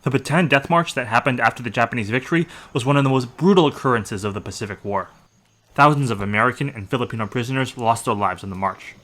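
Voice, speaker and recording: Fast-talking man with a bright voice. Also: medium pitch. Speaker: game walkthrough narrator. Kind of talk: informative gameplay commentary.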